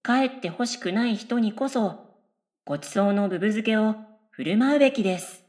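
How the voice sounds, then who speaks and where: A woman playing an oddly polite, daydreaming middle-school girl in a radio comedy, musing absurdly in earnest, deadpan, polite speech. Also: mid-range voice, moderate pace, natural voice. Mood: earnest, insistent. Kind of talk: scripted radio comedy dialogue.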